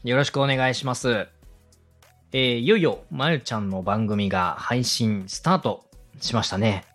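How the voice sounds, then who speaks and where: A man's voice: medium pitch, normal speed, fairly bright tone. A man hosting a podcast, asking questions and giving advice in a courteous, encouraging way. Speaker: podcast host, radio director. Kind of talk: interviewing and advising on a podcast. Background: background music.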